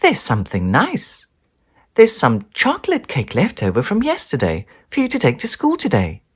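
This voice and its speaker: A suspicious storybook mum, put on by a man, high and sing-song. The voice probes gently, with big swoops in pitch. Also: huge pitch swings, normal speed, husky, heavily acted. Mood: cheerful. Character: busy, suspicious mother questioning her son.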